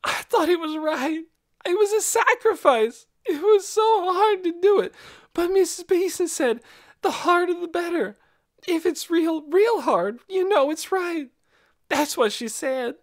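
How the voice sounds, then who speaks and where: A woman's voice: very high voice, expressive, a little slow, voice pushed up, exaggerated voice. A woman voicing a guilty, wounded teenage girl in an audiobook, plaintive and pleading, with folksy, uneducated grammar. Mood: crying, pleading.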